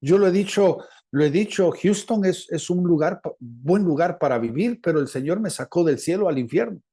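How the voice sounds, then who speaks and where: Mellow Latin American male preacher. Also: a little high, lively intonation, husky. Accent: Latin American Spanish. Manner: deliberate, repeats phrases for emphasis. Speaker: preacher, apostle. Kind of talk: sermon teaching.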